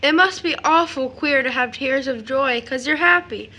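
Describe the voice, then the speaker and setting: An eager, innocent little boy played by a woman in an audio play. The voice is very high and bright, full of childlike wonder. Faint noise sits behind the recording. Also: normal speed. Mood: sweet, pleading. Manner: childlike grammar and wondering questions. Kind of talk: stage drama audio acting.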